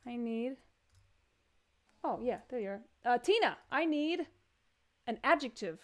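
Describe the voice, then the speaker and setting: High-voiced Texan woman hosting with jokes. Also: expressive. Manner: joking, rambling, quick asides. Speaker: comedian hosting a live stream. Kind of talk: casual comedic chat.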